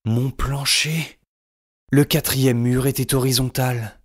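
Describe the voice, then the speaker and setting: A man playing the melodramatic narrator-hero of a comic science-fiction radio drama, with a husky voice. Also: medium pitch, flat delivery, slow. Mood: weary, dramatic.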